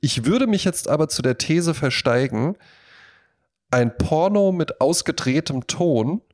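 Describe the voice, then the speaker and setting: A man's voice: fairly high pitch, lively intonation, a little slow, darkish tone. A man co-hosting a casual podcast, talking a lot in an emphatic, jokey way. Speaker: podcast co-host.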